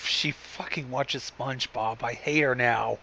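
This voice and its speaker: A man's voice: medium pitch, fairly even intonation, a little slow, vocal fry, pushed and bright. A man giving casual, wry, sarcastic commentary with casual swearing, over a lo-fi mic with faint noise.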